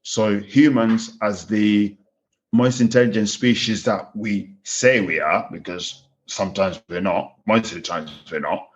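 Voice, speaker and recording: A man's voice: fairly low pitch, fairly even intonation, unhurried. A man in his thirties with a Kenyan accent, speaking as a guest in a candid interview, earnest and reflective, often restarting his sentences. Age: 30s. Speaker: farm manager guest. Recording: slightly dull lo-fi sound.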